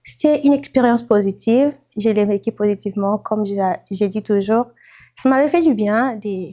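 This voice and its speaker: A woman from Congo speaks in a dark, mellow voice. She talks calmly and reflectively while giving a personal testimony. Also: mid-range voice.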